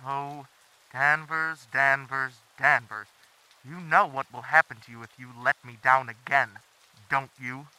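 A man playing a smooth-talking, quietly threatening schemer in an audio drama, measured and condescending. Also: mid-range voice, fairly even intonation, poor audio.

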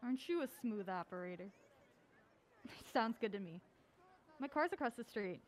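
A woman's voice: on the high side, expressive, normal speed, natural voice. An actress playing a mild-mannered film-obsessed woman with dry, wry banter in a naturalistic audio drama.

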